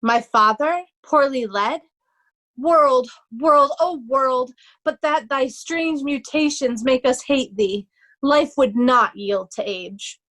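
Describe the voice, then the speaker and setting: Woman as sorrowful young outcast nobleman, slow. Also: sits high, a bit bright, low-quality recording. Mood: grieved. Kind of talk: Shakespearean readers' theatre.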